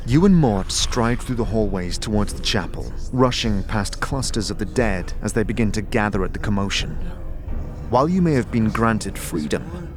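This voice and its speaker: Man narrating a fantasy tale, low voice. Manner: steady, second-person storytelling.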